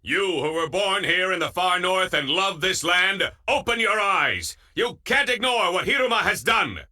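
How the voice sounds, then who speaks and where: A fanatical military demagogue for a video game. The voice is piercingly forceful and fairly high, swinging widely as he declaims with grandiose passion. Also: heavily acted. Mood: impassioned, rallying. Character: fanatical nationalist military orator rousing his followers. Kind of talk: political speech in English video game dub.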